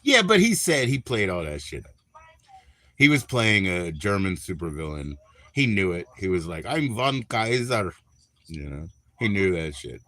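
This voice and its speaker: Bright-voiced joking man on a livestream. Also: deep voice, sing-song, normal speed. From Minnesota. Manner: crude, joking, rambling, laughs often. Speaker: livestream host. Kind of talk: casual live-stream chat.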